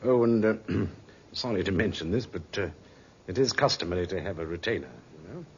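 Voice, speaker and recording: A man's voice: low-pitched, expressive, a little fast. An actor playing a polite, astute male private detective in a 1950s radio drama, deferential and a little wry. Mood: politely awkward. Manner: deferential, slightly hesitant, wry. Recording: muffled 1950s radio recording, faint noise.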